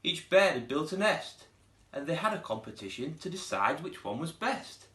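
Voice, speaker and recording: A man's voice: fairly high pitch, moderate pace, reverberant. A man, a primary school teacher reading a children's storybook aloud expressively. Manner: expressive, putting on character voices.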